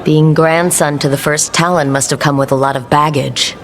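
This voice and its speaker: An actor playing a composed, warm and playful adventurer hero in a video game cutscene. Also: mid-range voice, fairly even intonation, moderate pace, a little hiss or hum, close to an everyday voice. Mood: supportive.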